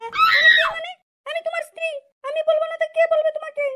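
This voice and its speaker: Squeaky, extremely high-pitched nagging cartoon wife. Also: female voice, level tone, fast talker, pushed and bright, shouting. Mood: indignant scolding. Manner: nagging, indignant complaining. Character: aggrieved, scolding young village wife in cartoon. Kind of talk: amateur comedy cartoon dubbing.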